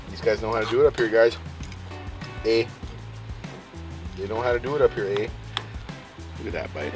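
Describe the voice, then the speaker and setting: A man chatting casually to viewers as an outdoor camping and fishing vlogger, with a folksy, playful, exuberant manner full of quirky slang. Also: on the low side. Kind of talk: casual vlog chat to viewers. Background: music.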